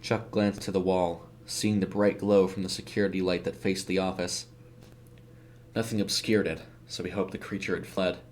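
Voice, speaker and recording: A man's voice: sits low, level tone, normal speed, a little hiss or hum. A man reading a scripted horror story as narrator, in a dramatic storytelling style. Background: music.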